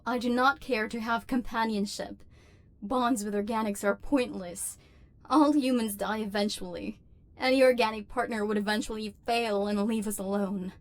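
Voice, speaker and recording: A haughty female robot overlord, acted by a woman for an ASMR roleplay. Her voice sits fairly high. She speaks in formal, clipped, machine-like phrases, with a commanding, human-hating villain's temper. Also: no room sound, lightly acted. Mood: guarded, dismissive.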